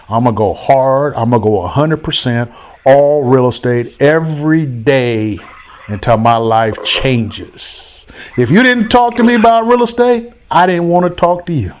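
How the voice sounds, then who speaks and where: A man hosting a call-in chat as a real estate coach, talking in an animated, preachy way and repeating himself for emphasis. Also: mid-range voice, normal speed.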